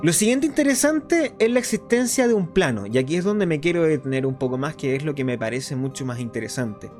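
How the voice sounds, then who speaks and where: An earnest underground radio host acted by a man delivers a scripted radio drama monologue in a fairly high male voice. He explains things deliberately and didactically, with faint music behind him. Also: lively intonation, a little mellow, close to an everyday voice. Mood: explanatory, intrigued. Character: clandestine radio host of a dystopian resistance show, earnest and explanatory.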